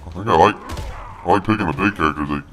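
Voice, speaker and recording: Very deep, cocky monster-voiced man. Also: fast talker, a bit bright. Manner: cocky, crude trash talk. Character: snarky, boastful big male monster brute. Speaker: gaming video host. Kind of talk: snarky gameplay commentary in a put-on monster voice. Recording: home recording with noisy game sound. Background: fighting game sound effects.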